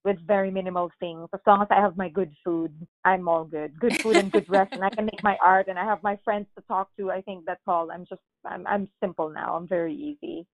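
Filipina guest, middle-aged, animated and chatty, phone-quality sound. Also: female voice, fairly low pitch, brisk, hardly any pauses. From Philippines. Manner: animated storyteller who acts out quotes, many "like"s. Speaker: podcast guest. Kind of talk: casual chat.

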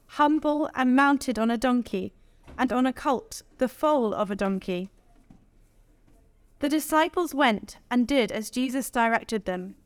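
Female scripture reader, slightly high pitch, formal church-reading delivery, very little room sound. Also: dry recording.

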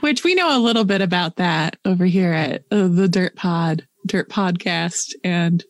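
A woman's voice: on the low side, animated, a little slow, no room sound. A woman co-hosting a podcast, chatting casually and giving sign-off details with dry, wry humor. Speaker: podcast co-host.

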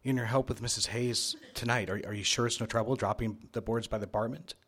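An actor playing a married advertising boss in a live radio drama, commanding and emphatic yet smitten. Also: male voice, mid-range voice, fairly even intonation, fast talker, a bit bright, natural voice. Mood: approving, fond. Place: live on stage in a theater.

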